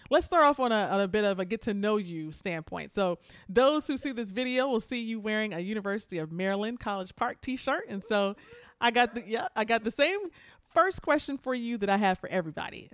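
A woman's voice: mid-range voice, dry recording, studio quality. A woman hosting a podcast interview, talkative and upbeat, who laughs easily. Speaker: podcast host. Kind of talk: casual interview chat.

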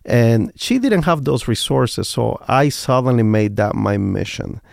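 A man's voice: medium pitch, animated, unhurried, creaky, a little mellow, dry recording, clean studio sound. A man from Cuba with a Cuban Spanish accent, talking earnestly and reflectively as an interview guest. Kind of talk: interview talk.

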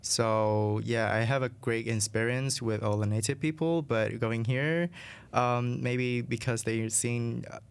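A young man from Vietnam with a Vietnamese accent, a student answering interview questions in a halting way, searching for words. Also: medium pitch, fairly even intonation, vocal fry, clear, resonant tone.

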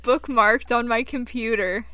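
A woman's voice: fairly high pitch, fairly even intonation, measured pace, very noisy. A woman speaking as a podcast guest in casual, friendly-paced conversation. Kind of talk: casual chat.

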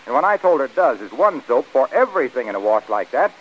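A man's voice: medium pitch, sing-song, quick pace, a bit bright. 1940s commercial announcer, American man, salesmanlike, swinging intonation, muffled vintage radio sound. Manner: folksy, salesmanlike.

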